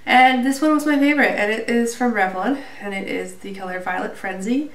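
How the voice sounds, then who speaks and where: A woman's voice: on the high side, brisk, fairly bright tone. A woman in her thirties with a stuffy, nasal cold, chatting casually to camera about beauty products, a little out of breath and using fillers. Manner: chatty, with fillers and small laughs. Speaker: beauty vlogger. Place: small indoor room, plain wall. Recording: roomy, slightly distant with faint hiss.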